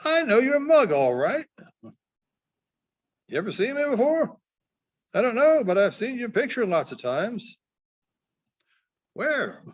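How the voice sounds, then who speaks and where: A man acts out a brash young boy from a storybook, sulky and blunt with slangy, clipped talk. The voice is pitched high and jumps around freely. The sound is a little dull. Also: sing-song, slow pace, pauses often, heavily acted. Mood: curious, blunt.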